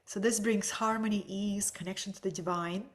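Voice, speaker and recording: A woman with a Russian accent, teaching an online webinar from home. She speaks softly and sometimes drops into a breathy whisper. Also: medium pitch, fairly even intonation, moderate pace. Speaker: energy healing teacher.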